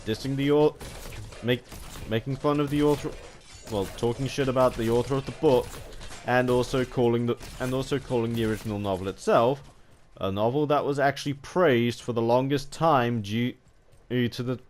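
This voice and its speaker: A man giving unscripted, rambling commentary as a gaming video host, halting and often restarting his sentences mid-word. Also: mid-range voice, steady tone, darkish tone. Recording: home recording with background noise.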